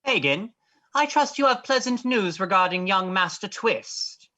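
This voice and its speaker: A woman playing a smug, scheming gentleman villain in a stage play reading, with florid, mocking formality. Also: medium pitch, animated, unhurried. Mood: smug, sardonic. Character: smug, genteel male villain, scheming and sardonic.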